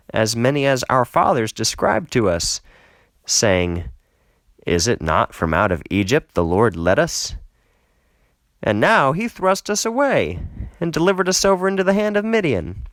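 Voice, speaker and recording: A man reading scripture aloud as an audiobook narrator, measured and solemn, lifting into emphasis for quoted speech. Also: on the high side, very animated, a bit bright, no room sound, clean studio sound. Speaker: audiobook reader.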